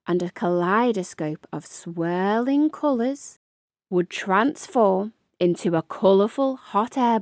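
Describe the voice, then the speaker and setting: A woman reading a children's picture book aloud in a measured, deliberate, expressive storytelling style. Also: medium pitch, no echo, clean studio sound. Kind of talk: scripted read-aloud storytelling for young children.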